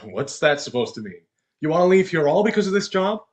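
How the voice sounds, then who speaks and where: A young husband played by a male student actor in a stage drama, controlling and insecure. His pitch swings widely as he belittles his wife. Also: big pitch swings, a little fast. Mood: scornful. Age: young adult. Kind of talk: stage play dialogue.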